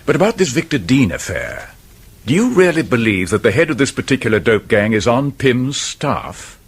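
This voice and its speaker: An actor playing a level-headed, sceptical friend of a detective in a period radio drama, dry and questioning. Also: male voice, medium pitch, big pitch swings, normal speed, husky. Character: sceptical, level-headed male confidant of a sleuth.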